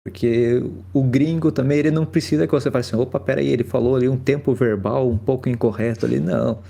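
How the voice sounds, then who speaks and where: A Brazilian man hosting a podcast, asking relaxed questions that wander and restart. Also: mid-range voice, fast, gravelly. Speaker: podcast host. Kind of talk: casual podcast interview.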